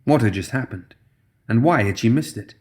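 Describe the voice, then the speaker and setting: A man's voice: medium pitch, lively intonation, moderate pace, a little mellow. A man reading scripted fantasy fiction as an audiobook narrator.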